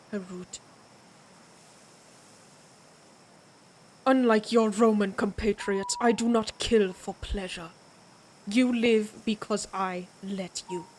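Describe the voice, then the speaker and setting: A woman playing a proud, menacing vampire warrior in an audio roleplay, speaking deliberately with an imperious, taunting manner. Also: mid-range voice, slight background noise, lightly acted, poor audio. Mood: guarded, proud.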